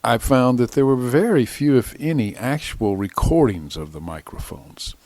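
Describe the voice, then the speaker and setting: A man's voice: medium pitch, animated, normal speed, a little mellow, dry recording. An older man giving an informal, matter-of-fact demonstration as a radio hobbyist, speaking plainly into vintage desktop radio microphones. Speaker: amateur radio hobbyist presenter. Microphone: vintage desktop radio microphones, recorded directly.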